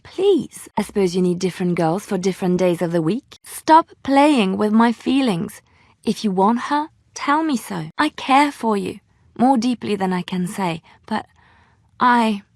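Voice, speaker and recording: An actress playing a devout, romantic young woman adventurer in a video game, heartfelt, coy and emotionally expressive. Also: mid-range voice, no echo, clean studio sound. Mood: wounded, pleading. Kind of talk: video game dialogue acting.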